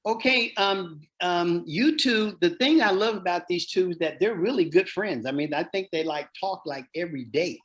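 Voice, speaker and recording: A man's voice: sits high, normal speed, few pauses, a bit bright. A man hosting an online company call, introducing guests in an upbeat, repetitive way. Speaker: host.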